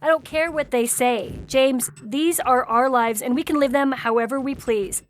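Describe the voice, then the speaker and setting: A woman's voice: high voice, normal speed, faint music behind, close to an everyday voice. An actress playing a distraught, defiant young woman in love in an audio story. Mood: defiant, pleading. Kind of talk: dramatic reading of audio fiction.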